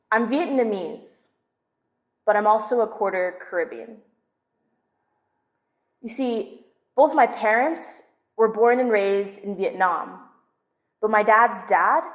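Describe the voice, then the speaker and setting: A woman from Southern California telling a personal story in a measured, confessional spoken-word monologue. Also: mid-range voice. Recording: roomy echoing space.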